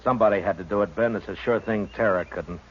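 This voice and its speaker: An actor playing a stern US Marshal of the Old West in a 1950s radio western, with an American accent and terse, measured delivery. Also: male voice, fairly low pitch, lightly acted. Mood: firm, calm. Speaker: lead actor, also narrates the story. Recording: muffled, noisy 1950s radio recording.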